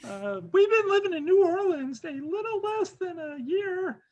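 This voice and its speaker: A woman playing a distressed, melodramatic mother in a comic dramatic reading, pleading in a halting way. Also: very high-pitched, expressive, darkish tone, dull audio. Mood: self-pitying.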